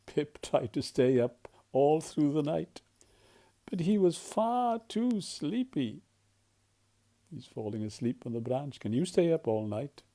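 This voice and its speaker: Storyteller, children's picture book, very varied pitch, soft mellow tone, unhurried, dramatic. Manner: animated, dramatic, often drops to hushed tones. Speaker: volunteer storyteller. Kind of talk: expressive read-aloud of a children's picture book, with questions to the listener.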